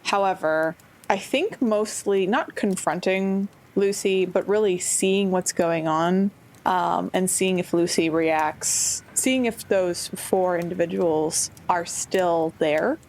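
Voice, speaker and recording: A woman's voice: lowish voice, slow, a little mellow. A woman playing a tabletop roleplaying game, casually and tentatively working out what her character intends.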